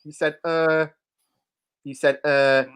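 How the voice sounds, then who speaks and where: A woman hosting a panel game in a playful, excitable, teasing manner. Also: low-pitched, slow pace, clear, resonant tone. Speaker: host of a panel show.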